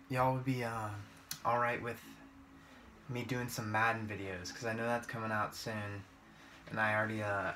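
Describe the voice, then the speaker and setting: Gravelly, low-voiced man commentating on a game. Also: sharp tone, slight background noise. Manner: informal, thinking aloud. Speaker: gaming video creator. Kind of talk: casual gameplay commentary. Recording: game music behind the voice. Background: video game music.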